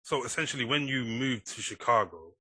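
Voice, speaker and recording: A man hosts a podcast interview in a casual, conversational way. His voice is sharply bright with a raspy edge. The sound is dry and close. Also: medium pitch, lively intonation, fairly quick, no room sound, poor audio. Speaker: podcast host.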